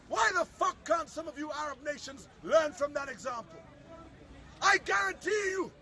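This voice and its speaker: A man's voice: very high-pitched, pushed and bright. A man giving an impassioned political speech in the street as a soapbox orator, declamatory and emphatic, with repetitive phrasing. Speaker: public soapbox speaker. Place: outdoors at a public speakers' corner. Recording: outdoor recording with faint crowd. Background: faint crowd, urban outdoor sound.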